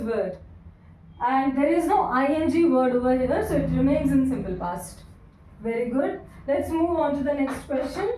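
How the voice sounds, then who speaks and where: High-pitched woman teaching grammar. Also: dark tone, slight background noise. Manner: slow, repeats sentences word by word. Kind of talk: classroom grammar lesson. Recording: echoey room, voice from a distance.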